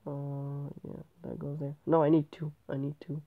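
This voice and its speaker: A man's voice: a little high, monotone, moderate pace. Stuffy-nosed male gamer, tinny sound. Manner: rambling, often correcting himself. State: stuffy nose from a cold. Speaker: gameplay channel host. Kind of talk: casual game commentary. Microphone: tablet microphone. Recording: tinny, phone-like tablet recording.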